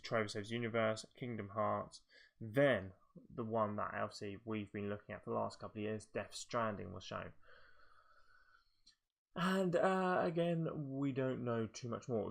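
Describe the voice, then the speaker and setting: A man's voice: fairly low pitch, vocal fry, fairly bright tone. A man giving casual video game commentary, thinking aloud in a hesitant, rambling way. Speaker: video game show presenter.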